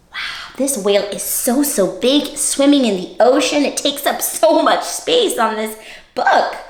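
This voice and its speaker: A woman hosts a children's read-aloud in a high, very animated voice. She lingers over words for playful dramatic emphasis, and the room adds a noticeable echo.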